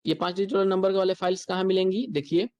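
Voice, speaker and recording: An instructor with an Indian accent delivers a software tutorial. The explanation moves step by step in a mid-pitched voice, with key points repeated along the way. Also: fairly even intonation, fast talker, dull audio.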